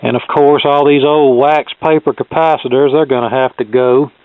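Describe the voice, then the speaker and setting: Male hobbyist demonstrator, mid-pitched, plain-spoken and unscripted, on a narrow phone-like recording with little room sound. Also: steady tone, normal speed, smooth, no echo. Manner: plain-spoken, thinking aloud as he inspects. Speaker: vintage radio repair hobbyist presenter. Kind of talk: casual unscripted demonstration commentary. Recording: thin, phone-like band-limited sound.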